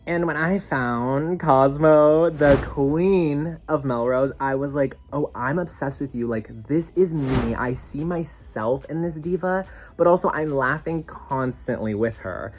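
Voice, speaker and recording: A drag competitor speaking in a confessional interview, expressive and self-deprecating and swearing casually. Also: mid-range voice, measured pace, slight background noise.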